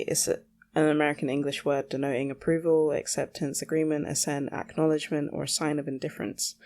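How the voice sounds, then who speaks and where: Low, creaky-voiced casual woman. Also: a little mellow. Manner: self-deprecating, mildly sweary. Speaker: solo podcast host. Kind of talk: casual rambling monologue.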